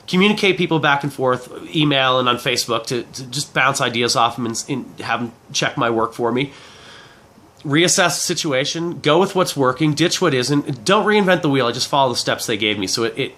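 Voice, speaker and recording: A man in his forties with a head cold, giving a casual, rambling video pitch as an online product reviewer, informally repeating and rephrasing himself. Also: sharp tone. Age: 40s. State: head cold, clearing throat. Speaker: affiliate marketing reviewer.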